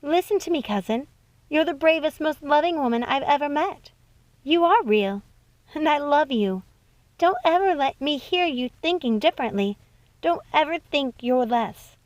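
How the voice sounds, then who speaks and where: A woman's voice: sits high, lively intonation, moderate pace, no echo, pitched above the performer's own voice. A woman voicing a sweet, emotional young princess in a storybook, earnest and affectionate, with a gushing delivery. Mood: earnest, loving.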